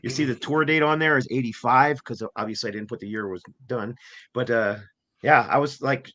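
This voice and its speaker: Bright-voiced man, voice going in and out. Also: mid-range voice, brisk. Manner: rambling, enthusiastic storytelling with restarts. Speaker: podcast co-host. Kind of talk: casual chat.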